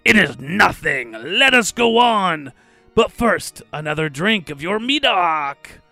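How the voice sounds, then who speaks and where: Drunken doomed nobleman played by a male reader: raised pitch, theatrical cries, music underneath. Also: very animated, no room sound, full character voice. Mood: tipsy, defiant. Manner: loud, drawn-out, theatrical cries. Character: merry drunken doomed nobleman, pleading and trembling. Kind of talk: dramatic short story reading.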